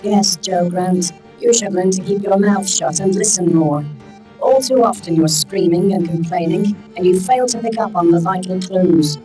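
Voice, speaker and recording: A man's voice: sits high, normal speed, warm tone, soft background music, voice pushed up, close to an everyday voice, low-quality recording. A man voicing a stern, imperious talking handbag. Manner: lofty and reproachful.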